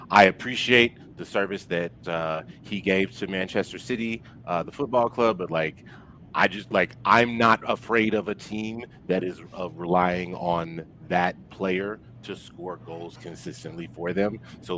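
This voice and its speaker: Male football podcast guest, deep voice, halting casual delivery, faint noise. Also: fairly bright tone, rough recording. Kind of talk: casual sports podcast chat.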